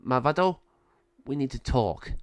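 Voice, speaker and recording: A man's voice: mid-range voice, expressive, measured pace, no echo, natural voice. Man voicing an earnest good sorceress. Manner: earnest, firm. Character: wise, earnest good sorceress, younger sister.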